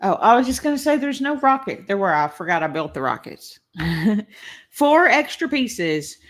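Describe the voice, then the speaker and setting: A woman's voice: medium pitch, big pitch swings, normal speed. A woman vlogger chatting casually on a live stream, rambling and laughing often, a little congested. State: slightly congested. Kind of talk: casual live-stream chat while building a toy.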